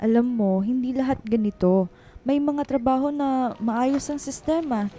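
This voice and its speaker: A woman's voice: high voice, measured pace, dark tone. A Filipino woman playing a frank, supportive office friend in conversational radio drama dialogue. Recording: music bed under dialogue.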